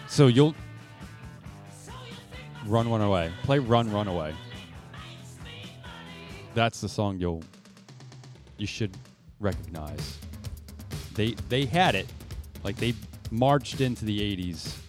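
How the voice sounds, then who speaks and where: Guy with a lowish voice on a podcast, halting casual talk, faint music playing. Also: animated. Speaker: podcast co-host. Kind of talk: casual chat.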